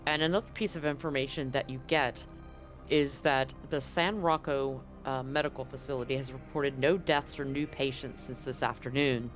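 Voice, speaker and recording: A man improvising narration as game master of a tabletop roleplaying game, often pausing to find his words. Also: mid-range voice, fairly even intonation, fairly bright tone, faint music behind.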